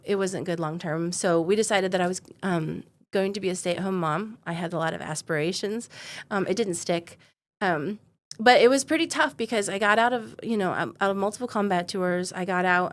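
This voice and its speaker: A woman in her forties from the United States, talking about her own life in a casual interview. Her voice sits a bit low, and she keeps it loose, with frequent fillers and easy laughter. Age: 40s. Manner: easygoing, laughs often, many um fillers. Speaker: guest, defense company founder. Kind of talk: conversational interview storytelling.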